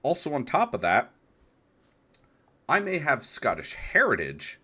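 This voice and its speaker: A man's voice: mid-range voice, wildly swooping pitch, a little slow, pushed and bright, breathy edge. An American man in his thirties hosting a solo talk, reflective and hesitant, asking rhetorical questions. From United States. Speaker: podcast host. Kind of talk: solo monologue.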